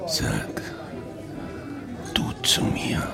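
An actor playing an amorous, self-pitying older boss in a German radio play, with sighing, murmured delivery. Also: male voice, very slow, in a whisper, over loud music. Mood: self-pitying, pleading.

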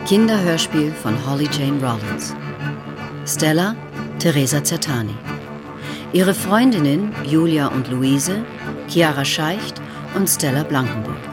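Low-voiced woman announcer over music. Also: animated, unhurried. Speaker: credits announcer. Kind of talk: scripted announcement.

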